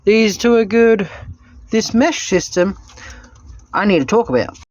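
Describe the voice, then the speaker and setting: Lively, chatty man vlogging. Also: sits high, measured pace, no echo. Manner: chatty, self-mocking, animated. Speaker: homesteading vlogger. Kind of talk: casual self-filmed vlog narration.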